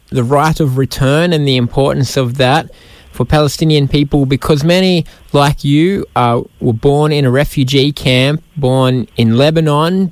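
Smooth-voiced male radio host. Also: fairly high pitch, no echo. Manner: friendly, hesitant phrasing with fillers. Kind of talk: radio interview.

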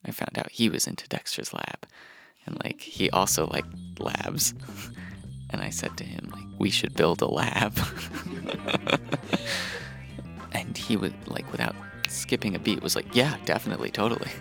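A man's voice: sits low, sing-song, a little slow, gravelly, pauses often. A man casually telling childhood stories in a conversational, chuckling way, with music underneath. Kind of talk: casual personal storytelling.

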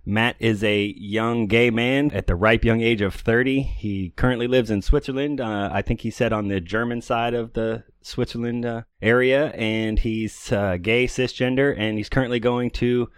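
A man's voice: medium pitch, fairly even intonation, normal speed, few pauses, clean tone, dry recording, clean studio sound. A middle-aged man hosting a podcast, interviewing in a chatty, informal, conversational way. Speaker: podcast host.

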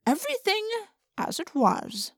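A woman acts out an aloof, all-powerful alien from an audiobook. It speaks in calm, formal, measured pronouncements, imperious and detached, in a dry recording. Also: very high voice, creaky, dry recording, heavily acted.

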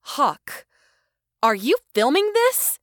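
Stern, animated scolder, dry sound. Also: female voice, sits high, takes it slowly, dry recording, heavily acted. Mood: suspicious. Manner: firm, reproving. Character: stern, exasperated woman scolding like a responsible elder. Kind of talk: audio drama acting.

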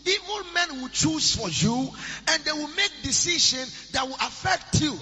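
A man preaching a sermon in a chanting, sing-song style that rises into shouts. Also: very high-pitched, animated, normal speed. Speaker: pastor. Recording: church hall reverb with music behind.

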